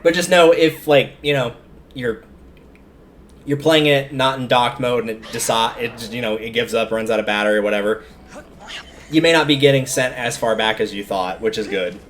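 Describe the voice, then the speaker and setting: A man's voice: medium pitch, normal speed, fairly bright tone, a little hiss or hum. A man giving casual, chatty, opinionated live commentary as he streams a video game, rambling off into asides. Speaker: game livestreamer.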